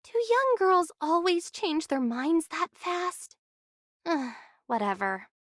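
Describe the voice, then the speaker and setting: A young female adventurer in an anime game. The voice is very high and lively, with a brisk, teasing, sceptical edge that turns easily to exasperation. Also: no room sound, studio quality, heavily acted. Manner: pert, sceptical.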